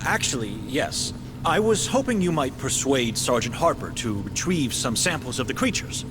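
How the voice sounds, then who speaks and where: A man's voice: medium pitch, sing-song, normal speed, noisy background, natural voice. A man playing a droll, erudite doctor in a video game, slyly polite, with formal and fussily precise phrasing. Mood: wry, sly. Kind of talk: video game cutscene acting.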